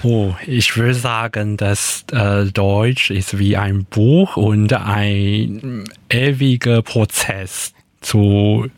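A man from Taiwan with a Taiwanese accent, whose native languages are Hokkien and Mandarin, speaking in a radio interview with many fillers and pauses while he searches for words. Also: lowish voice, fairly even intonation, no room sound. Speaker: radio guest.

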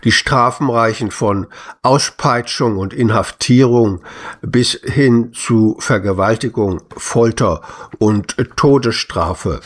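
A man reading written testimonies aloud in a slow, measured, grave manner. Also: mid-range voice. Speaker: reader. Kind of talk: solemn read-aloud of testimonies.

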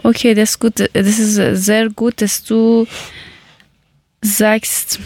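A woman who speaks slowly and keeps stopping to hunt for the right word. Her voice stays flat, with little rise or fall, and the sound is close and dry. She talks like someone casually interviewing a child. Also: mid-range voice, no echo. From Iran. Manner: hesitant, searching for words.

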